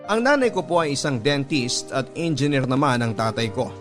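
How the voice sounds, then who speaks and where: An actor narrating in the first person as a sincere young pilot, with a Filipino accent, in scripted radio-drama style. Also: male voice, medium pitch, expressive, normal speed, over music, close to an everyday voice. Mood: sincere, proud. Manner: earnest, sincere. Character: earnest young male pilot telling his life story.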